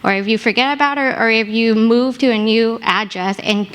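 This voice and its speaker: A woman's voice: fairly high pitch, normal speed, fairly bright tone, smooth, rough recording. A woman presenting an app at a hackathon, then handing off to a teammate for the demo. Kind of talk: pitch presentation. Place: conference stage.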